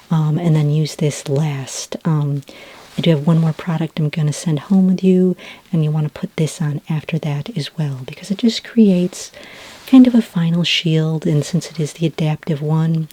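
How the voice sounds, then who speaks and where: A woman acts out a gentle female skin care consultant in a sci-fi ASMR roleplay. Her voice is low, mellow and very breathy, often slipping close to a whisper. She explains things in an informal, slightly hesitant way, calm and reassuring. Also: poor audio. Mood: calm, helpful. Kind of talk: soft-spoken ASMR roleplay.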